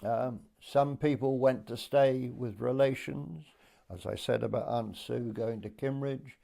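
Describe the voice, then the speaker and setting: A man, reminiscing hesitantly with many pauses as he is interviewed. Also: medium pitch, vocal fry, mellow. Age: late 80s. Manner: hesitant, pausing, unhurried recollection. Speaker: oral history interviewee. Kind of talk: reminiscing in conversation.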